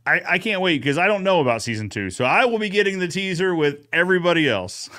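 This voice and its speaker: A man's voice: high voice, animated, fast talker, pushed and bright. A man hosting a podcast recap in a casual, folksy, conversational way. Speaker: host of a podcast recap show.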